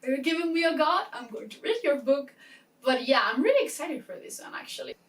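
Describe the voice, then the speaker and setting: A woman talking casually to camera as a book vlogger, chatty and excitable, often interrupting herself with asides. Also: high voice, fairly quick, recorded from a distance. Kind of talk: casual chatty reading-plan talk to camera.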